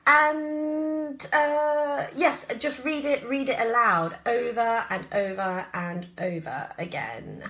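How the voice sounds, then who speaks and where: A woman's voice: a little high, slow pace, hardly any pauses, sharp tone. A woman with an English accent, an actress casually answering audience questions in a live chat, thinking aloud and often hesitating. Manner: hesitant, thinking aloud, frequent throat-clearing.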